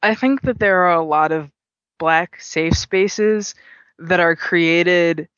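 A man's voice: sits high, slow pace, fairly bright tone, clear, resonant tone, dry recording, highs cut off. A man speaking earnestly as an interview guest about his gaming community. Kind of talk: interview conversation.

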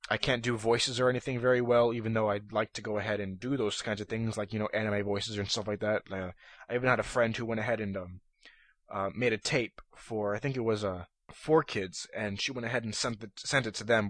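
Slightly hoarse, monotonous young man podcasting. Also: lowish voice, dry recording. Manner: rambling, many fillers and restarts. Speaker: podcast host. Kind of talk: casual solo podcast talk. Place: room at his computer.

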